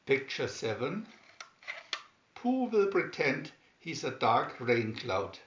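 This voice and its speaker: Slow, animated male storybook reader in a roomy space. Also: medium pitch, slight background noise. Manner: deliberate, with emphatic swoops on key words. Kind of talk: scripted children's story narration, read aloud slowly and expressively. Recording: dull, roomy home recording.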